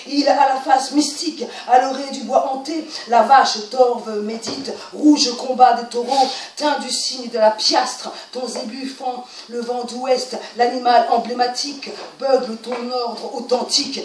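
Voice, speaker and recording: A woman reciting lyric poetry in a solemn, emphatic, incantatory style of dramatic declamation. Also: on the high side. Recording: roomy, distant mic, faint noise.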